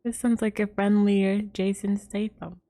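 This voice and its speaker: Woman streamer, slow, mellow casual reactions. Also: mid-range voice, flat delivery. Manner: giggly, reacting aloud. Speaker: game streamer. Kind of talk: casual livestream commentary.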